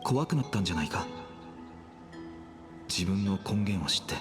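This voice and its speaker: A man voicing a solemn, resigned young hero in a dramatic game scene, softly and with breathy phrase endings. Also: sits low, expressive, fast talker. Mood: earnest, gentle. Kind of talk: dramatic Japanese game voice acting. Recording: game music under the dialogue.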